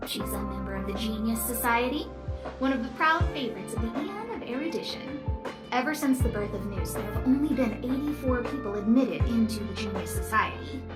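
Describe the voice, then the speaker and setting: A high, girlish young researcher for a game , voiced by a woman, wealthy and caring. She talks expressively and conversationally, with some echo and music behind her. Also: lively intonation, fairly quick, lightly acted. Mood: explanatory. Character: young female lead researcher, wealthy, cheerful, caring stargazer. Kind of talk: video game character dialogue.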